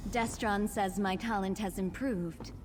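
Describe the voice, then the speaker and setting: A woman plays a composed, gently teasing young sister in a fantasy game. Her phrasing is formal and measured, at an average pitch. A long reverb trails her voice, over slight noise. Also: fairly even intonation, lightly acted. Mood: curious, formal.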